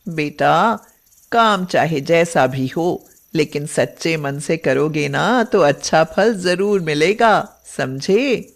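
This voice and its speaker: A woman voicing a poor, caring village mother who speaks earnestly and expressively in an animated moral story. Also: low voice, slow, creaky, pitched below the performer's own voice, full character voice. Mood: gently admonishing.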